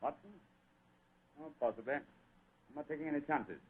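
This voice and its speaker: An actor playing a wary gentleman detective with a clipped manner, in old-time radio style. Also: fairly high pitch, normal speed, natural voice. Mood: suspicious. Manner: suspicious, clipped. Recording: tinny, band-limited old radio recording.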